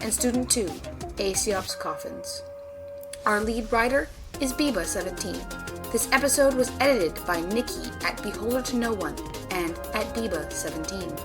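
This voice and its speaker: A woman's voice: mid-range voice, moderate pace. A woman announcer reading scripted closing credits for an audio drama, with music behind her. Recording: voice over prominent music.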